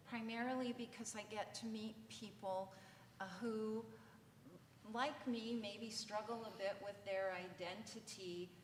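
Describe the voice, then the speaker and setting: A woman who coordinates an English language program, speaking thoughtfully and personally on a panel. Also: mid-range voice. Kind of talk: reflective panel remarks. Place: conference hall. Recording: echoey hall, faint noise.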